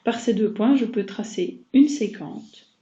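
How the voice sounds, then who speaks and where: Mellow-voiced woman teaching math, roomy muffled recording. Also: mid-range voice, a little slow. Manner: didactic, step-by-step, addressing students directly. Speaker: mathematics teacher. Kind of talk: explanatory recorded math lesson. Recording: dull muffled sound, roomy echo.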